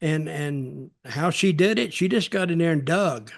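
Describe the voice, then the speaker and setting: An older man with a somewhat high voice talks casually on a podcast. He gives his opinions haltingly, often repeating a phrase and starting it again. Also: animated, gravelly. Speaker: podcast co-host and wrestling veteran. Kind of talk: casual chat, reminiscing and giving opinions.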